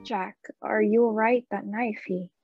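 An anxious friend played by a woman in a radio play. The voice is medium in pitch and full of worried concern. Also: fairly even intonation, measured pace, phone-quality sound, faint music behind, lightly acted.

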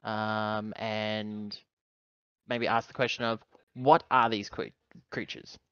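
A man's voice: fairly low pitch, little pitch movement, takes it slowly, fairly bright tone, smooth, no room sound, highs cut off. A man playing a tabletop roleplaying game, casually thinking aloud as he describes what his character does.

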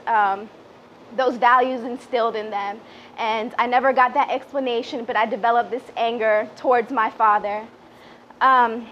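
High-voiced young woman speaking, muffled noisy recording. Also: fairly bright tone. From born in Peru, grew up in the Washington DC area. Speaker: public relations director giving a speech. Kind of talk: personal testimonial speech at a small event. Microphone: handheld microphone, lapel mic also worn.

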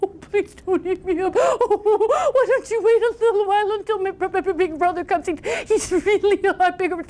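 Lady doing a teeny frightened goat from a kids story, super high voice, stuttery and pleading. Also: moderate pace, darkish tone. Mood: nervous, pleading. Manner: stammering, trembling, pleading. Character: tiny timid frightened young male billy goat.

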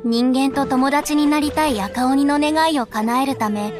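A storybook narrator for a game. Her high voice tells a folktale gently over clearly audible music. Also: a little slow. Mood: gentle storytelling.

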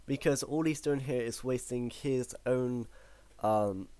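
A man with a croaky voice commentating his own online battle, thinking aloud with frequent ums and self-corrections. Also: mid-range voice, slow pace, mellow, no room sound. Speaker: gaming commentator. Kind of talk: live battle commentary over gameplay.

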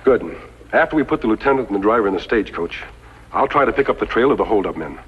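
An actor playing an upright masked cowboy hero in a 1950s radio western, with formal, decisive, authoritative diction. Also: male voice, on the low side, animated, fast talker, breathy edge. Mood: resolute, concerned. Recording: dull, noisy 1950s radio recording.

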